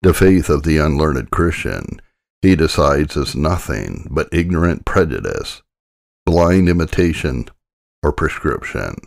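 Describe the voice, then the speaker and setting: A man reading a formal religious essay aloud as an audiobook narrator, in a measured, solemn way. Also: a bass voice, big pitch swings, gravelly.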